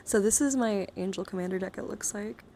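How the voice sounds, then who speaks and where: Woman streamer, casual rambling show-and-tell, mid pitch with heavy vocal fry, some noise behind her. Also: brisk, mellow. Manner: chatty, rambling, swears casually. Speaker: livestream host. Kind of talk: casual livestream chat showing a card collection. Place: indoors, livestream with handheld camera.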